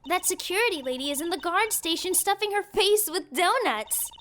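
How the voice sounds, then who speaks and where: A woman voicing a perky, excitable, childlike teenage girl sidekick in a video game. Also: very high voice, normal speed, slight background noise. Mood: excited. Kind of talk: animated video game character acting. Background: music.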